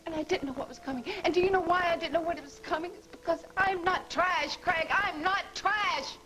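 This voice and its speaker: A woman's voice: mid-range voice, animated, normal speed, close to an everyday voice. A woman playing a bitter, scheming soap-opera lover. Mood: hurt. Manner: taunting, bitter, emotional. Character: bitter scheming woman, former nurse-accomplice, hurt and vengeful. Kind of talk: daytime soap drama acting.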